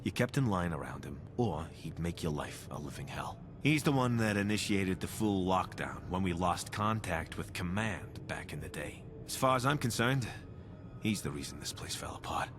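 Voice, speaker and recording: An actor playing a blunt, embittered veteran soldier companion in a video game, speaking in a colloquial, sardonic way. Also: male voice, low voice, lively intonation, moderate pace, background noise. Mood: bitter, frank.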